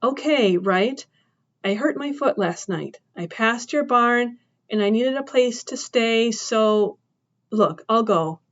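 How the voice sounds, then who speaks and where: A woman's voice: fairly high pitch, expressive, fairly bright tone, muffled recording. A woman doing a frightened, stammering boy. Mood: nervous. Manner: halting, nervous stammer. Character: scared hurt boy, cornered, defensive.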